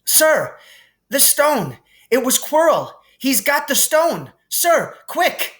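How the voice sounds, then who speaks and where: A man's voice: extremely high pitch, very animated, takes it slowly, fairly bright tone, pitched above the performer's own voice, exaggerated voice. A man voicing an anxious, earnest young schoolboy in a storybook reading, with an urgent, questioning manner. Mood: alarmed. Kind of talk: audiobook character reading.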